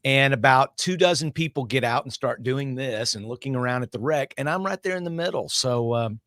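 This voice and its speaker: A man's voice: mid-range voice, a little fast, fairly bright tone, no echo. Guy telling stories casually on a panel show, medium voice, really dry audio. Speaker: panelist on a pop culture show. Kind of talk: casual anecdotal chat.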